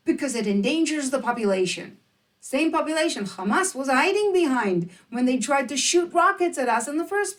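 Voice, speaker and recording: A woman presents scripted opinion commentary in a fairly high, very animated voice. Her delivery is emphatic and rhetorical, edged with sarcasm. She sounds slightly removed from the microphone. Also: recorded from a distance.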